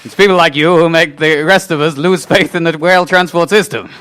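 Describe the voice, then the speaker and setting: Man playing bright-voiced, bossy railway schemer. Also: fairly high pitch, fairly even intonation, a little fast, clean tone, close to an everyday voice. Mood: scolding, scheming. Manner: brusque, plotting. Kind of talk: improvised comic radio play acting.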